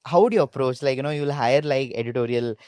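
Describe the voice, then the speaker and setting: A man's voice: medium pitch, fast talker, a little mellow, dry recording, clean studio sound. A man with an Indian accent, a startup founder answering conversationally in an interview.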